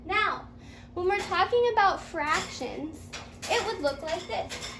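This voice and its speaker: A woman teacher with a very high voice leads a playful, storybook-style lesson at an unhurried pace. She is encouraging, asking sing-song questions and repeating her pupil's answers. The room is echoey, with faint noise behind her. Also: animated. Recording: roomy echo, faint background noise.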